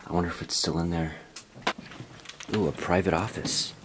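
A young man hosting a casual exploring vlog, chatty and excitable as he thinks aloud inside an abandoned building. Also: low-pitched, normal speed, noisy background. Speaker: urban explorer host. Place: abandoned newspaper building indoors.

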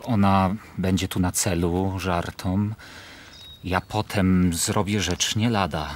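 Humiliated, brooding nobleman for radio verse drama; grave, slow, faint ambient noise. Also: deep voice, level tone. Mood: grim. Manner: grave, theatrical delivery.

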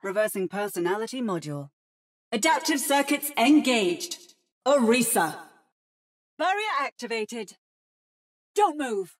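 A woman's voice: fairly high pitch, sing-song, unhurried, long pauses. A woman playing an adaptive female robot in a video game, with a precise, synthetic, theatrical delivery that mimics other fighters. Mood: precise, robotic. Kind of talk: video game character voice lines.

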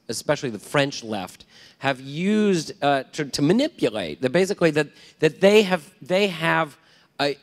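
A middle-aged man, an author on a panel, speaking in a measured way with restarts into a handheld mic. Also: a little high. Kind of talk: public panel discussion. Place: large lecture hall on stage. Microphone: handheld microphone. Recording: long hall reverb.

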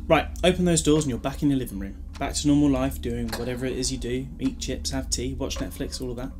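A man's voice: mid-range voice, fast talker, a little mellow, soft background music, close to an everyday voice. A British man playing a glib, protective time-travelling hero in an audio drama, wry and chatty with a scolding streak. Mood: breezy, fond. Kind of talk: fan audio drama acting.

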